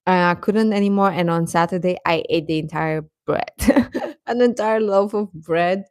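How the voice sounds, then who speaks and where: A woman's voice: fairly low pitch, no room sound, clean studio sound. A woman chatting casually as a livestream host, talking directly to viewers and often repeating her phrases.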